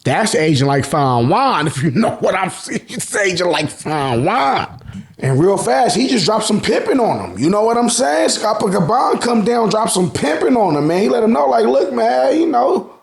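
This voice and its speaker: A man's voice: sits high, normal speed, gravelly. An American man giving a casual, joking recap as a channel host, full of slang and swearing and acting out dialogue. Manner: slangy, profane, joking, animated. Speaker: host of an anime and manga review channel.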